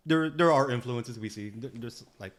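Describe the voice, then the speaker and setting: A man's voice: mid-range voice, normal speed. A man co-hosting a podcast, chatting casually in slangy, loose banter. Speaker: podcast co-host.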